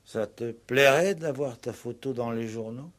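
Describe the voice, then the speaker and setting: An actor playing a shrewd police commissioner in a radio play, measured and inquisitive, with a lightly ironic manner. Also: male voice, mid-range voice, close to an everyday voice. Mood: probing, wry. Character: shrewd police commissioner, calm, wry, courteous investigator. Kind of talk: 1960s French radio play acting.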